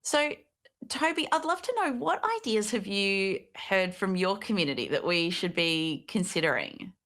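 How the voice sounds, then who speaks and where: A woman's voice: mid-range voice, expressive, moderate pace, fairly bright tone. A woman moderating a panel discussion, putting upbeat, inviting questions to each speaker in turn.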